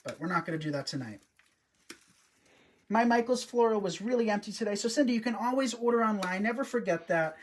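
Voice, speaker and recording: A man's voice: high-pitched, animated, a little fast, slight background noise. A man hosting a live wreath-making stream, chatty and upbeat, often talking to his viewers as he works. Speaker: host, wreath designer. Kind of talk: live craft demonstration.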